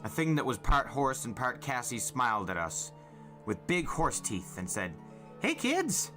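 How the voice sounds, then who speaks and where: A man's voice: medium pitch, lively intonation, moderate pace, bright, forceful tone. A man reading a first-person novel aloud as a dramatic storyteller. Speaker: storyteller, podcast host. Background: faint music.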